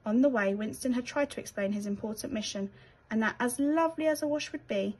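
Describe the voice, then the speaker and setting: A British woman narrates a children's storybook. Her voice sits a little high with a husky, unpolished edge, and she reads expressively, as a teacher would to young listeners. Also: brisk, darkish tone.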